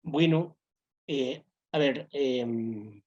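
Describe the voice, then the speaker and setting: Halting man, muffled recording. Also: medium pitch, a little mellow. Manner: hesitant, halting, many fillers. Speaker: interview guest, educator. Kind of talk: interview answers.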